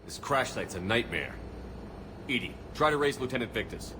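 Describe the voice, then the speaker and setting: A man's voice: medium pitch, expressive, normal speed, lightly acted. A man playing a tough, resolute human navy commander in a sci-fi game, authoritative and clipped. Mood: brisk, commanding. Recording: clear vehicle and ambient noise behind.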